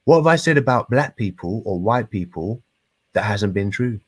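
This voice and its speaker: A British man in his thirties in a heated debate, speaking in halting, insistent, repetitive bursts with sweary slang. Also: on the low side, expressive, breathy edge, no echo. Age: 30s.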